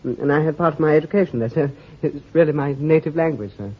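An actor playing a stammering young lieutenant. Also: male voice, fairly high pitch, fast, natural voice. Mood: eager, deferential. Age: young adult. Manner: stammering, deferential, says sir constantly. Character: eager, nervous young male army lieutenant. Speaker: army lieutenant. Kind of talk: radio drama acting. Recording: lo-fi, muffled old recording.

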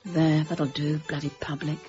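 A woman's voice: deep voice, level tone, normal speed, lo-fi sound, over music, lightly acted. A woman playing a novelist reading her overwrought romance draft in a theatrical storybook manner. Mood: weary, dismissive.

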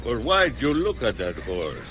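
A man's voice: mid-range voice, wildly swooping pitch, a little slow, slight background noise. An actor playing a suspicious Mexican policeman who interrogates in broken English with a heavy Mexican Spanish accent. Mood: interrogating. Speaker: police official. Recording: dull lo-fi radio drama.

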